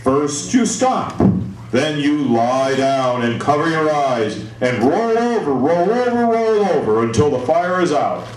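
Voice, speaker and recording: Man voicing an instructive puppet, highish and distant. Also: big pitch swings, a little slow. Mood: earnest, instructive. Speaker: puppeteer in a fire safety puppet show. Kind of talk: scripted children's puppet show dialogue. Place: live show in a room, recorded from the audience. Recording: roomy, distant live show recording, faint noise.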